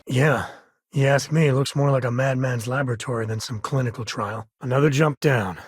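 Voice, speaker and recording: An actor playing an easygoing, wry spacefaring cowboy and devoted father in a video game, with a husky voice and folksy, drawling, dryly sarcastic phrasing. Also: mid-range voice, fairly even intonation, a little fast, no echo, studio quality, close to an everyday voice. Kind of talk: video game character dialogue.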